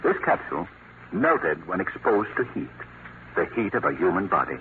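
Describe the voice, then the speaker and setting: An actor playing a suave, self-assured gentleman detective, measured and urbane, in an old-time radio drama. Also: deep voice, sing-song, phone-quality sound. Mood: calm, explanatory. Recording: phone-thin vintage radio, faint noise.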